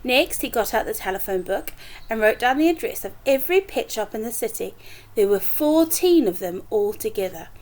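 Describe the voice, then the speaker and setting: Female storybook reader, teacher type: higher-pitched, highly animated delivery, expressive dialogue, friendly asides to pupils. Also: moderate pace, a little hiss or hum. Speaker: teacher reading aloud to pupils. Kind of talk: expressive children's storybook read-aloud with asides to listeners.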